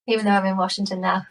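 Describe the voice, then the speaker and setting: Chatty Geordie woman with an even pitch. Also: far from the mic. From Newcastle area, England. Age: late 30s. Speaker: podcast guest. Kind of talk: casual chat.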